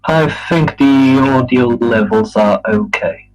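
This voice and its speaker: A man setting up and explaining a board game, halting with many fillers. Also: mid-range voice, dull audio, faint music behind. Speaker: game referee.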